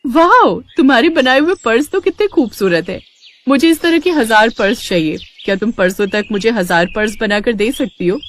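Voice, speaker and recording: A woman plays a young fairy from a storybook. She sounds kindly and confident, with a very lively rise and fall in her speech. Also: on the high side, normal speed, close to an everyday voice. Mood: eager.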